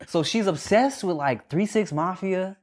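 A man spins a casual story on a podcast, his pitch leaping up and down as he acts out what people said. He laughs often and sounds a little phlegmy. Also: expressive, measured pace, a little mellow, slight background noise. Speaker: podcast co-host.

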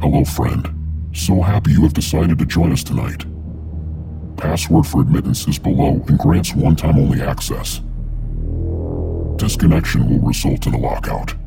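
A man acting a cold, anonymous gatekeeper in an audio drama, formal, measured and ominous. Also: extremely low pitch, creaky, over music. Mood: ominous, controlled. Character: cold, anonymous male host issuing strict secret instructions.

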